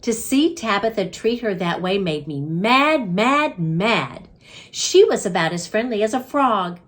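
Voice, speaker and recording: A woman's voice: medium pitch, very animated, unhurried. A woman reading a children's story aloud with dramatic, emphatic storytelling.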